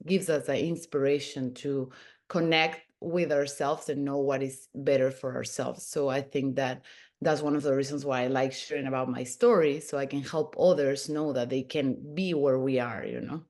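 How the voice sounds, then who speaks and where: A woman's voice: extremely low pitch, steady tone, moderate pace, a little mellow. A woman in her thirties from Peru, a podcast guest telling her own story in an earnest way, often restarting her sentences. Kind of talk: casual interview.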